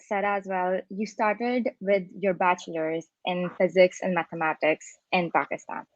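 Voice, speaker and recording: Woman, twenties, panel host, friendly, mid pitch, dry muffled video call. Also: no room sound. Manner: friendly, scripted introductions, uses "um" and "like". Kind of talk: moderating a panel interview.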